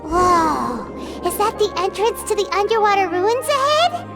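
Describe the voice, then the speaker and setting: A tiny, cheeky fairy with an extremely high, childlike voice that swoops widely. The delivery is theatrical and eager, made for an animated game, with prominent music playing behind it. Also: female voice, unhurried, full character voice. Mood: let down. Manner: speaks of self in third person, exclaims eagerly. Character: small childlike excitable female fairy companion, cheeky and theatrical. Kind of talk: animated video game dialogue.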